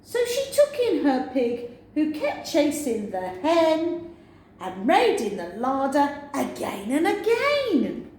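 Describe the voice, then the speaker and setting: A woman reading a children's picture book aloud as a storyteller, with an expressive sing-song delivery. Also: high-pitched, slow. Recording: very echoey room, faint noise.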